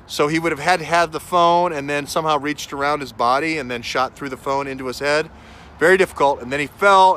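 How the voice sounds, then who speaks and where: A man delivering an unscripted, speculative vlog monologue in a halting, emphatic way, repeating phrases for stress. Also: medium pitch, a bit bright. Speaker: vlogger, self-styled investigator. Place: outdoors on a city street. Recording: outdoor street noise with traffic. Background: faint urban ambience and vehicles.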